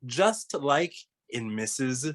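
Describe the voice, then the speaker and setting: A man playing a young amateur detective in a cartoon mystery table read, explaining crimes in a deliberate, theatrical way. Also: mid-range voice, very animated. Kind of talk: animated comedy table read, dramatic deduction monologue.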